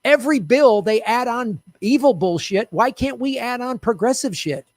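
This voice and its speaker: High, animated ranting man. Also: moderate pace, no echo, clean studio sound. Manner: loud, profane ranting; talks straight to viewers, urging them to act. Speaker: host of a political commentary show. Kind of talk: fiery political commentary.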